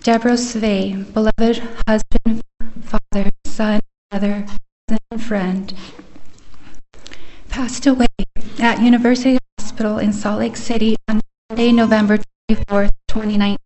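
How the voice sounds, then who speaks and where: A woman giving a steady, earnest life sketch as a eulogy at a funeral service in a chapel. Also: medium pitch, moderate pace, a little hiss or hum, low-quality recording. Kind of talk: reading a eulogy.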